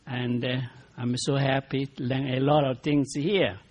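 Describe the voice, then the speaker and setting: A man's voice: medium pitch, flat delivery, darkish tone, breathy edge, highs cut off. An older man from China with a Chinese accent, speaking politely and simply as a senior program participant. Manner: slow, simple, polite speech.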